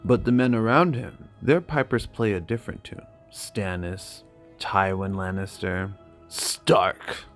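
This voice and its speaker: A man performs a loyal, seasoned knight in exile from a fantasy saga. He sounds sober and measured, a touch low, with music behind. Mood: grave reflection. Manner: grave, measured.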